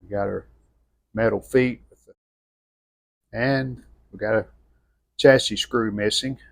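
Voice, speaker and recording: A man giving an unscripted hands-on restoration walkthrough in plainspoken, halting short phrases. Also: lowish voice, fairly even intonation, gravelly. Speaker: radio restorer presenting own channel.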